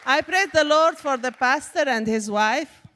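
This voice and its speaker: A woman's voice: high voice, measured pace, a faint crowd, slight background noise. A woman from Syria with a Syrian Arabic accent, a native Arabic speaker, giving a testimony to a church congregation in an emphatic preacherly manner. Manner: warm preacherly, rising to emphatic exclamations. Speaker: guest speaker. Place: church sanctuary. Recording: echoey church hall.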